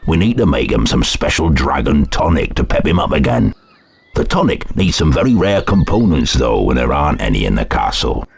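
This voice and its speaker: An actor playing a big, gruff, caring gamekeeper in a video game, with folksy casual speech. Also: male voice, rumbling low, sing-song, fairly quick, a little hiss or hum, full character voice. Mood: concerned. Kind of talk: video game character acting. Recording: music behind the voice.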